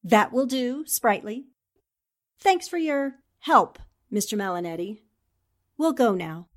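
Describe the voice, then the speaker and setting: An actress as a shrewd woman reporter in an old-time radio mystery. Her voice is fairly high, and she probes with formal, determined questions. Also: lively intonation, takes it slowly. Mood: cool. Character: determined, shrewd newspaperwoman sleuth, cool and probing. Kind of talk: 1940s radio drama acting.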